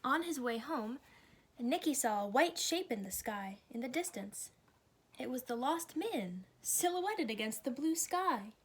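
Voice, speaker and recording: A woman reading a children's story aloud with expressive, clearly phrased delivery. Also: high voice, a little slow, slight background noise. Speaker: storybook reader.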